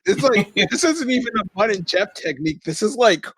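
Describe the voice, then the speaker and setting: A man's voice: high voice, lively intonation, a little fast, fairly bright tone, husky, no echo. A man chatting casually as a player in a tabletop game.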